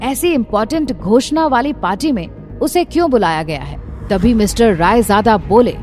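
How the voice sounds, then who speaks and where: A woman's voice: fairly high pitch, animated, normal speed, darkish tone. A woman narrating a scripted audio drama as a dramatic storyteller. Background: background music.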